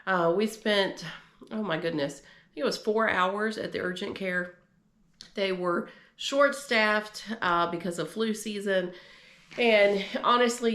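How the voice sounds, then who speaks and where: A woman in her forties talks to the camera from her home office, stuffy-nosed from a cold. Her voice sits low with a gravelly fry, and the room adds a noticeable echo. She rambles along with fillers, friendly and encouraging. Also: a bit bright. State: congested from a cold. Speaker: homemaking vlogger. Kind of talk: casual chatty vlog.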